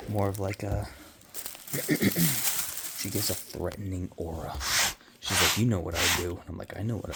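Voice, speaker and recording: A man chatting casually while cleaning his living room, meandering and offhand, with quiet mumbled asides, into a handheld phone. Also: low-pitched. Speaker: vlogger and pet owner. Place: home living room, indoors. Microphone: handheld phone camera. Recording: loud background noise.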